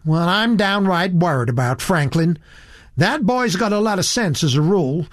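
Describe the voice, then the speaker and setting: A man voicing a blunt, earnest young woman with a high, shrill voice in an audiobook story. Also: animated, normal speed, dry recording. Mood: indignant, worried. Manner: blunt, indignant. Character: earnest, disapproving, very young American tourist woman.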